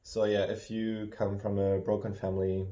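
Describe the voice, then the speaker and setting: A man, co-hosting a casual chat, who talks in a halting, reflective way with many restarts. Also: lowish voice, flat delivery, mellow, highs cut off. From Germany. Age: 30s. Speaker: co-host. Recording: roomy echo.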